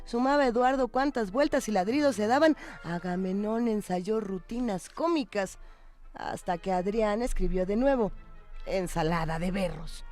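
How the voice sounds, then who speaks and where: A woman reading a story aloud expressively, with deliberate emphasis on key phrases. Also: medium pitch, darkish tone, faint music behind.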